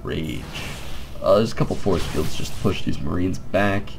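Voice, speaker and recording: A young man with a stuffed-up nose, giving mellow, quiet commentary on a strategy game with joking asides. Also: sits low, expressive, normal speed, vocal fry, music in the background. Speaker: game caster. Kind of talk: laid-back game commentary.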